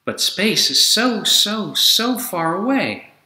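A man's voice: high voice, big pitch swings, takes it slowly, sharp tone, a little hiss or hum, pitched above the performer's own voice, exaggerated voice. A man voicing an earnest, easily discouraged young girl in a storybook, with childlike pleading. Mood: doubtful. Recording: echoey room.

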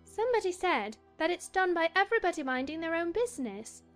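A woman's voice: very high voice, sing-song, fairly quick, faint music behind. A woman playing a polite, curious young girl in a dramatized audiobook, matter-of-fact in manner.

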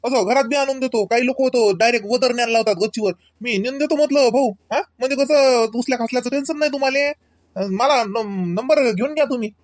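A man's voice: extremely high pitch, quick pace, no echo, clean studio sound. A man playing a humble, polite village delivery driver in a comedy cartoon, folksy and plain-spoken, with a Varhadi Marathi accent. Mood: eager, persuasive.

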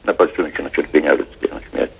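A man calls in to a TV talk show, speaking formally and hesitantly, with reflective pauses. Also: extremely low pitch, fairly even intonation, gravelly, breathy edge. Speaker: caller. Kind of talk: phone-in call to a TV talk show. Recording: dull phone line.

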